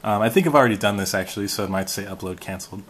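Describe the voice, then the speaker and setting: Low-voiced American man giving a tutorial. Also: racing, husky. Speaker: tutorial host. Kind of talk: casual how-to app walkthrough.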